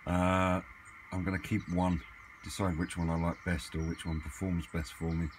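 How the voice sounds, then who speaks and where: A man's voice: low voice, level tone, normal speed. A man chatting casually and off the cuff, hesitant as he thinks aloud in a hobby vlog. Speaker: hobbyist vlogger. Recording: clearly noisy amateur camera recording.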